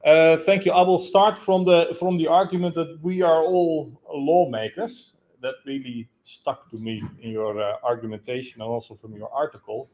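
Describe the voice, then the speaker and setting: A man in his thirties standing in a conference room, asking a long spontaneous question in a loud voice with many hesitations, recorded by a room camera. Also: fairly high pitch, fairly even intonation, normal speed, hardly any pauses. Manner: loud, hesitant, gesturing as he talks. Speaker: audience member asking a question. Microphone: room camera, no handheld mic.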